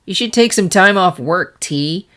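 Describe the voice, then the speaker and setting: Brash pony mare, woman acting it out, playful, breezy, teasing, energetic, storybook character, low-pitched for a female voice. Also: unhurried. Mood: insistent. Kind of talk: fan fiction character reading.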